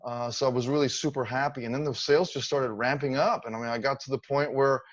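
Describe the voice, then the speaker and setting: A man's voice: medium pitch, steady tone, quick pace, no room sound. A man in his fifties tells his story as an interview guest, chatty and thankful, acting out his own thoughts as he goes. His voice comes through a little dull and lo-fi, with no room sound around it. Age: 50s. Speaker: interview guest, online seller. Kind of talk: casual video-call interview.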